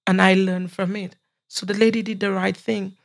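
A woman's voice: medium pitch, little pitch movement, normal speed, creaky. A middle-aged woman speaking as a podcast guest, giving her opinion firmly and earnestly from her own experience. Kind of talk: casual panel chat.

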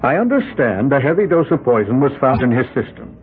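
Sharp lawyer, calm and dry, pokes at answers, kinda husky, tinny old radio. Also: male voice, medium pitch, a little fast, soft background music. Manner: calm, dryly ironic, cross-examines pointedly. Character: shrewd mainland lawyer, cool and probing. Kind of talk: 1970s radio drama acting. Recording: tinny lo-fi 1970s radio broadcast.

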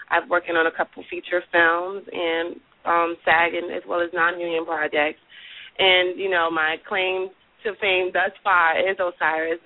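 Nasal, gravelly woman with a cold on a phone line. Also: lowish voice, no echo. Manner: friendly, upbeat, many ums. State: has a cold, a little nasal. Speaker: guest, casting director. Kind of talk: radio interview guest. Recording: tinny phone line, dry.

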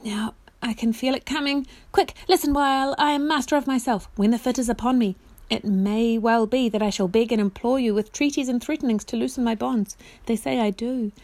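Woman acting an anguished, high-strung bewitched knight. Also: a little mellow, dry recording, lightly acted. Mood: urgent, dreading. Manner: courtly archaic phrasing, groaning and imploring, drawn-out words. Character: anguished bewitched knight, a desperate young man pleading in bonds.

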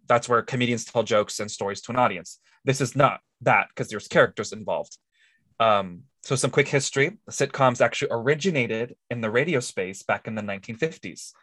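A man's voice: medium pitch, fairly bright tone, dry recording. A man co-hosting a podcast, explaining things in a casual, jokey, conversational way. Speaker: podcast co-host.

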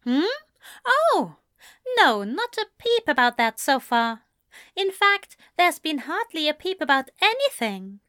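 A woman's voice: high voice, big pitch swings, a little slow, bright, forceful tone, dry recording, clean studio sound, pitched above the performer's own voice, full character voice. A woman voicing a prim, snippy male angel who is easily flustered and scandalised, in a fan fiction story reading. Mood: polite, uneasy. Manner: prissy, snippy, evasive.

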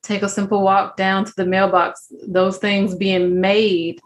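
A woman's voice: mid-range voice, little pitch movement, unhurried, slight background noise. A woman hosting an interview, speaking reflectively and smiling as she talks. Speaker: host. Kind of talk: conversational interview.

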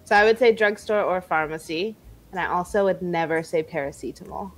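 A woman's voice: fairly low pitch, a little fast, soft background music, low-quality recording. A woman with an American accent, an English tutor explaining word choices in casual conversation.

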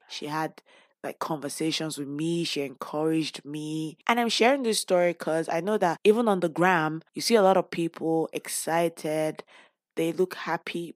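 A woman hosting her own podcast with a hoarse, worn voice, talking casually and openly with playful asides. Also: on the low side, dry recording, studio quality. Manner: chatty and open, with playful asides. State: lost voice, hoarse. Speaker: podcast host; teacher. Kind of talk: casual personal podcast talk.

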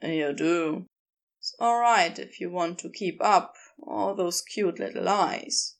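A woman voicing a laconic, gruff but tender male supernatural being, soft-spoken and clipped in phrasing. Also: on the low side, slow, voice pushed down. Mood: gently firm.

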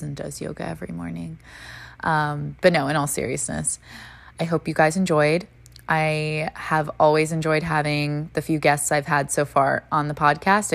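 A woman hosting a casual dating podcast, chatty and quick to laugh, using lots of fillers. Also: low-pitched, vocal fry. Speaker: podcast host.

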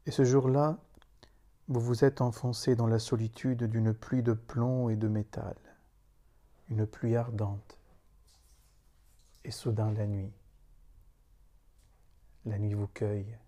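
A man recites solemn spoken poetry in a very mellow, dark voice. His delivery is slow and meditative, with grave pauses between short phrases. The intonation stays flat and even throughout. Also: fairly low pitch. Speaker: meditation leader reciting own poem.

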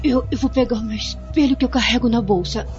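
A woman's voice: a little high, fast talker, over music, lightly acted. A woman playing a vain, impatient young hospital patient in a melodramatic radio soap, whiny and fretful. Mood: impatient, secretive. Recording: dull lo-fi radio drama audio.

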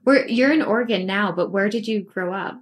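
A woman with a mid-pitched voice hosts a podcast interview. She sounds friendly and upbeat as she asks her guest questions, and she often breaks into easy laughter. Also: a little fast. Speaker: podcast host. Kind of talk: casual interview chat.

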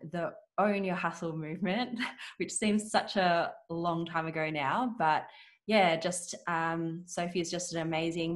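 A woman with a lowish voice hosts a podcast interview. She chats casually and loosely, sprinkling her speech with "um"s and soft laughs as she introduces her guests. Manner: warm-hearted, chatty, with frequent fillers and laughs. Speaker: podcast host.

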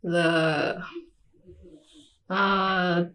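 A woman's voice: lowish voice, flat delivery, very slow, a bit bright. A young woman chatting casually as a podcast guest. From South Sudan. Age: young adult. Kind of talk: casual chat.

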